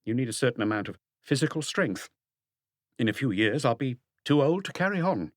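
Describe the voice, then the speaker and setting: Audiobook mystery role: sullen, guarded woman in middle age, read by a man, lifted pitch, curt and evasive. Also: animated, brisk, dry recording, studio quality, close to an everyday voice. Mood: defensive. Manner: curt, evasive, defensive answers. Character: stubborn, guarded middle-aged working woman under questioning.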